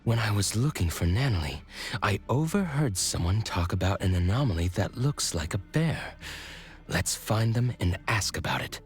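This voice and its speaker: A man voicing a polite and earnest video game teammate. His lowish voice moves with very lively intonation, over faint music. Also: moderate pace, lightly acted. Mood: puzzled, earnest. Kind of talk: scripted video game voice acting. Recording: music behind the voice.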